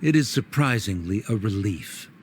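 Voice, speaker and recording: A man voicing an aloof, lofty scholarly man who speaks in a haughty, measured way, as a game character. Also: fairly low pitch, animated, a little hiss or hum, lightly acted. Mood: disdainful.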